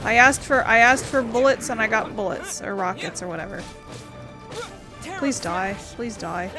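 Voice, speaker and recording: Playful, higher-voiced woman doing game commentary. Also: moderate pace, music in the background. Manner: playful, sarcastic, swearing. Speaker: gaming video host. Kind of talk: casual let's play commentary.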